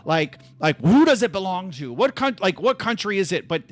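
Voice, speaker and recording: A man's voice: high-pitched, very animated, normal speed. A man hosting a casual livestream from home on his laptop, rambling and restarting his sentences with lots of "like.". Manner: rambling, restless, full of restarts and "like". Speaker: livestream host.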